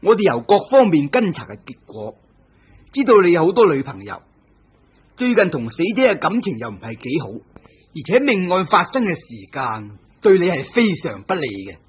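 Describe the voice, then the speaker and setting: An actor playing a stern police inspector who presses suspects hard, in a vintage radio drama with a husky voice. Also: male voice, on the high side, very animated, moderate pace, heavily acted. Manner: firm, pressing interrogation. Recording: dull lo-fi old radio recording.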